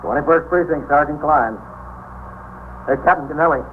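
A man acts as a police desk sergeant in a 1950s American radio drama. His voice sits a bit high, and he is patient, polite and plain-spoken. The sound is narrow and tinny with light noise. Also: moderate pace, lightly acted. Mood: dutiful.

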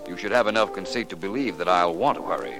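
A man's voice: low voice, fast talker, music in the background, lightly acted, rough recording. An actor playing a polished, wry male detective in a 1940s radio drama. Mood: urbane. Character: suave, urbane male detective.

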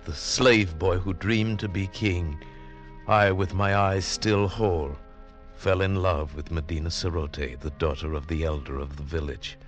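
An actor in old-time radio drama playing an earnest, impassioned outsider in love, scorned as a village idiot. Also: male voice, low voice. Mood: wistful. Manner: earnest, impassioned, hesitant in dialogue. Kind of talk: 1940s-50s radio drama acting and narration.

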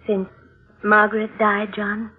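An actress playing an anxious, devoted young bride in a 1940s radio drama, earnest and hesitant. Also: female voice, mid-range voice, monotone, slow, husky. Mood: tender. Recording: tinny old radio, music behind.

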